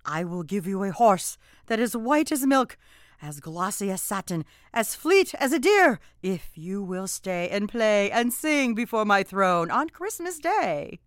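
A woman's voice: medium pitch, big pitch swings, measured pace, no echo, studio quality, voice pushed up. A woman voicing a regal, eager queen in a storybook tale, speaking in a grand, formal, persuasive way. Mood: coaxing.

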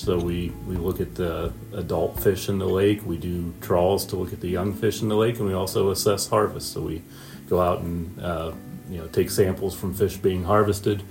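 A man in his forties from Northwest Ohio, a fisheries administrator answering interview questions in a measured way with frequent pauses and restarts. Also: low voice, fairly even intonation, vocal fry, music in the background.

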